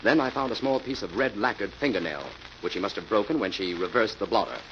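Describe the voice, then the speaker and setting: An actor playing a cool, confident crime-fighter in an old radio mystery, speaking with assured deliberation. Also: male voice, on the low side, fast, a bit bright, natural voice. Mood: assured, explaining. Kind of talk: 1940s radio acting. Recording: dull, faintly noisy old radio recording.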